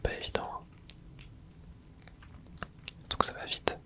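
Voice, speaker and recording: An ASMR gaming host whispering a running commentary while playing, muttering quiet reactions into a close microphone. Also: slow pace.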